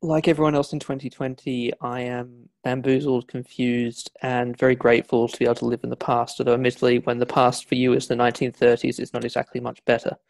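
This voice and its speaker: Gravelly man, a historian explaining at length. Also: mid-range voice, completely flat delivery, fast talker, few pauses, a little mellow, dry recording. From not from Scotland; Scottish family. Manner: discursive, many fillers and restarts. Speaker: historian guest. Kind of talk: academic interview answers.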